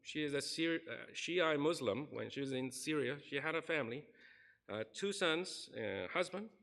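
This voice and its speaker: A man's voice: on the high side, lively intonation, normal speed, creaky, a bit bright. A man preaching a sermon in a church, earnestly telling a story and acting out the people in it. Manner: earnest, recounts stories and acts out quoted people. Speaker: pastor. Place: church sanctuary.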